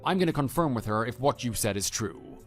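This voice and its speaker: A man playing a level-headed, skeptical husband with a measured, hesitant delivery in an audio drama. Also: mid-range voice, lively intonation, soft background music, close to an everyday voice. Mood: skeptical, controlled. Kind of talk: scripted texting-story radio drama.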